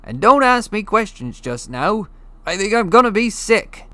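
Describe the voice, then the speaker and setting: A man voicing a big, bluff half-giant groundskeeper in a storybook reading, booming and hearty with a rustic way of talking. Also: very animated, unhurried, pushed and bright, dry recording, heavily acted. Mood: queasy, shouting.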